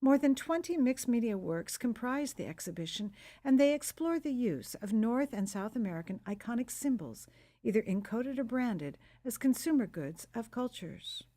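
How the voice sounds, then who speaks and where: Mellow woman reading museum narration. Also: a little high, moderate pace. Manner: smooth, even reading.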